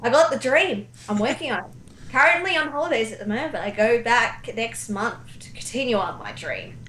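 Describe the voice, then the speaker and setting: A woman's voice: fairly high pitch, sing-song, a little fast, a bit bright. A woman of about thirty with an Australian accent, chatting casually as an interview guest. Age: around 30. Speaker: guest, animator and amateur voice actor. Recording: echoey room, faint noise.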